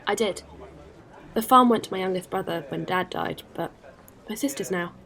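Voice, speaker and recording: A woman's voice: on the low side, moderate pace, vocal fry, a bit bright. A woman playing a friendly, caring alien spacefarer in a science-fiction audio drama, talking in a casual, considerate way. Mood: warm, casual.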